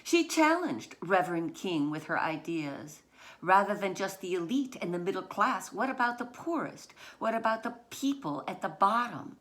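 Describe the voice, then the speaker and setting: A woman's voice: mid-range voice, big pitch swings, a bit bright, slight background noise. Woman author reading a picture book aloud. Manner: deliberate short phrases, dramatic emphasis on key words. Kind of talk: scripted narration, children's picture book read aloud.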